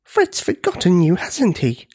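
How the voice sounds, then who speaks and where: A small, gentle giant child from a children's storybook, voiced by the narrator. The voice is lifted in pitch, quiet and kindly, with a faintly husky edge. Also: huge pitch swings, measured pace, full character voice. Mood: sympathetic.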